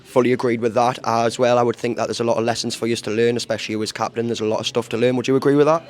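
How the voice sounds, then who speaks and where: A man interviewing players for a fan channel pitchside at an outdoor stadium, asking enthusiastic, rambling questions. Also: level tone, racing. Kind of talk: post-match interview.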